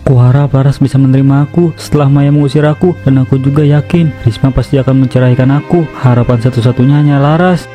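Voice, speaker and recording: A woman's voice: very deep voice, fairly even intonation, fast talker, hardly any pauses, music in the background. A woman voicing a cheating young husband who is cursed to meow and bark when he speaks, sounding panicked. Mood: hopeful. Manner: panicked, breaks into cat and dog noises.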